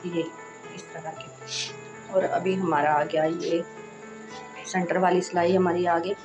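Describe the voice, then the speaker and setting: A woman hosting a sewing tutorial, speaking in a casual, reassuring way while she explains each step, with a hoarse voice from a sore throat. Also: fairly low pitch, level tone, takes it slowly, music in the background. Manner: chatty and reassuring, frequent "accha ji".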